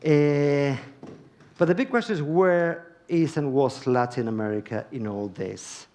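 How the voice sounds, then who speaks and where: A man from Argentina, moderating a panel on a conference stage in a measured, deliberate way with pauses. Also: a little high, darkish tone.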